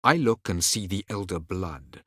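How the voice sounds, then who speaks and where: A cold, haughty elven mage from a fantasy game. His voice sits low and is recorded close and dry. He speaks in measured, formal, archaic phrases with a condescending edge. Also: animated, fairly bright tone, dry recording, full character voice. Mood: haughty, disdainful. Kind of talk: video game character dialogue.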